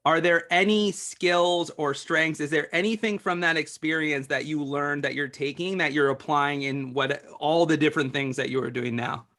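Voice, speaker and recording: A man's voice: on the high side, steady tone, normal speed, hardly any pauses, bright, forceful tone. A male author guest in an interview, speaking earnestly and explaining ideas, often saying "like". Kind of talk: conversational interview.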